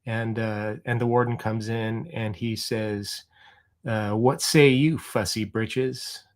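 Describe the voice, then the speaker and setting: A man's voice: lowish voice, fairly even intonation, slow pace. Middle-aged man, livestream host, casual chat, rambling, lots of restarts. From Oregon. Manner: rambling, many ums and restarts. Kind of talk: casual chat reading viewer comments.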